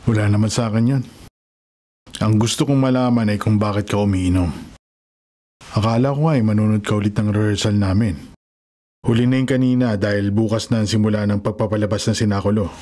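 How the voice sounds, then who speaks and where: Deep-voiced elderly Filipino grandfather played by a man. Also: fairly even intonation, brisk, warm tone, close to an everyday voice. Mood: gently probing. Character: elderly village grandfather, caring and concerned. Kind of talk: Filipino radio drama acting.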